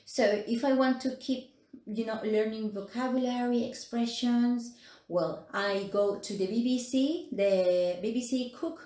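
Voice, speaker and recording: A woman's voice: a little high, a little slow, dark tone. Woman, 40s, Peruvian Spanish accent, English teacher, chatty, tag questions, echoey room, phone mic. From Peru. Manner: chatty, laughs often, many fillers and tag questions. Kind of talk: casual live-stream teaching. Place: small room indoors.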